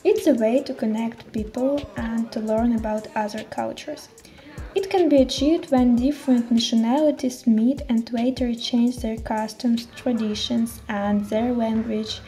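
Mellow young woman reading explanation. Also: fairly high pitch, fairly even intonation, soft background music. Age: young adult. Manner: measured, slightly halting reading. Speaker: narrator. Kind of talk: read explanation.

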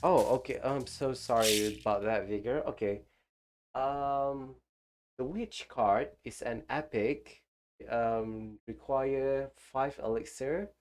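Male streamer, phlegmy from flu, slow easygoing gameplay chatter. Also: medium pitch, darkish tone. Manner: rambling, chats with viewers. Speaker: game streamer. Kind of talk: casual live gameplay commentary.

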